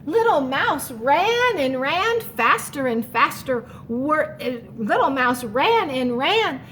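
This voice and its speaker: Female storyteller for children: very high, lively pitch, unhurried, expressive, faint background rumble. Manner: animated, explains the story in asides. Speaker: story reader. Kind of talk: expressive children's read-aloud.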